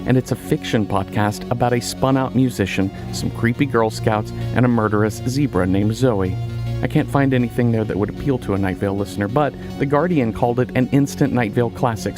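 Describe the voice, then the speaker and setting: A man reading scripted podcast announcements in a casual, chatty way with wry, informal asides. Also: medium pitch. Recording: music bed under the voice.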